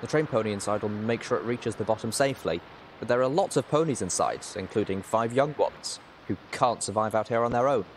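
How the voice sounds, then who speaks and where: A man's voice: fairly low pitch, a little fast, slight background noise, natural voice. A man voicing a plucky young mare pony, urgent and bossy, in a storybook reading. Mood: urgent, cross.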